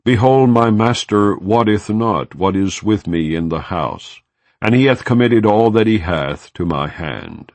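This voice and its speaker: A man reads scripture in a very deep voice, slow and formal. His pitch swings widely, lifting and dropping from phrase to phrase. The sound is close and dry. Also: dry recording. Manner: solemn, measured, formal delivery. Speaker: scripture reader. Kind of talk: unhurried scripted scripture reading.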